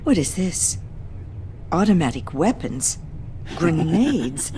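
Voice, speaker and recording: Low-voiced, stern chairwoman. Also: very animated, dark tone, slight background noise, exaggerated voice. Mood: alarmed denial. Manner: formal, measured, accusing. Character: stern, businesslike female company chairwoman under pressure. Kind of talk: video game voice acting.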